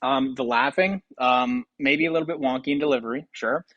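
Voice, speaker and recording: A man's voice: mid-range voice, a little fast, a bit bright, no room sound, dull audio. A man giving casual, rambling commentary as a video host, hesitant and self-deprecating, close to the mic. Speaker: video host ranking game voice lines.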